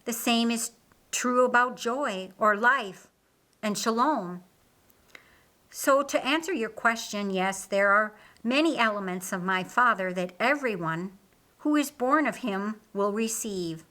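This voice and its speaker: A woman voicing a calm, assured male religious teacher in an audiobook, speaking deliberately and stressing key words. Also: on the high side. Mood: instructive. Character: Jesus, a man, calm, assured, kindly teacher. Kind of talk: audiobook character dialogue.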